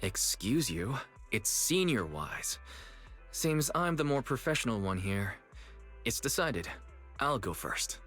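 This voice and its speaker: A man's voice: mid-range voice, big pitch swings, takes it slowly, fairly bright tone. A man playing a confident, slightly cocky young male hero in a video game, protective and assured. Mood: smugly teasing. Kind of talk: video game story dialogue. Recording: game music under the voice.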